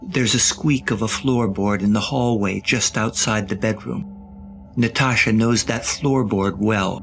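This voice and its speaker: A man narrating a scripted audio drama with deliberate, suspenseful storytelling and wry touches. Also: lowish voice, vocal fry, fairly bright tone, soft background music.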